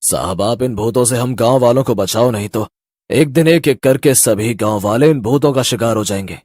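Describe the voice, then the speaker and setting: A man's voice: medium pitch, moderate pace, a little mellow, dry recording, clean studio sound, voice pushed down, natural voice. A man voicing a sorrowful village headman in a horror cartoon, earnest and beseeching. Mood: earnest pleading. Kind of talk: Hindi horror cartoon voice acting.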